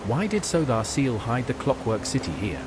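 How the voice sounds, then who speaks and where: AI male voice as a very animated curious adventurer. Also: mid-range voice, moderate pace, noisy background, natural voice. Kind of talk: AI-voiced game dialogue questions.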